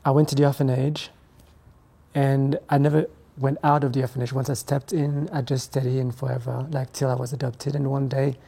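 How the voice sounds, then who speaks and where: A man, a guest telling his life story in an interview, speaking in a reflective, halting way. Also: mid-range voice, little pitch movement, creaky, dark tone, husky. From Ethiopia, raised in France. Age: 20s. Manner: reflective, hesitant, halting phrasing. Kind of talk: conversational interview.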